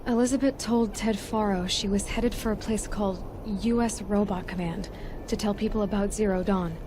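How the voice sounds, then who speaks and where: A woman's voice: medium pitch, fairly even intonation, moderate pace, noisy background, lightly acted. A woman playing a determined, guarded young huntress in a video game, probing and dryly sarcastic. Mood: probing, uneasy. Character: determined, wary, sharp-tongued young huntress heroine. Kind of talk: video game cutscene dialogue.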